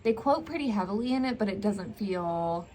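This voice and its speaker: A woman co-hosting a book vlog in casual chat, speaking haltingly with "like" scattered through her sentences. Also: mid-range voice, expressive, warm tone, slight background noise. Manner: hesitant, halting delivery full of "like". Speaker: book vlogger co-hosting a Q&A tag.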